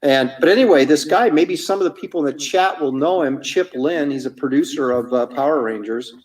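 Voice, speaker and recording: A man's voice: a little high, brisk, darkish tone, distant mic, poor audio. A man in his fifties, a comic artist chatting casually and rambling through anecdotes while he inks on a stream. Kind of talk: casual chat while drawing.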